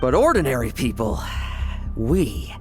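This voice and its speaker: An actor playing a defiant, sardonic young knight in a video game, with taunting theatrical delivery. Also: male voice, medium pitch, very animated, a little slow. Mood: grim resolve. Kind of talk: dramatic video game voice acting. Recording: loud game music behind.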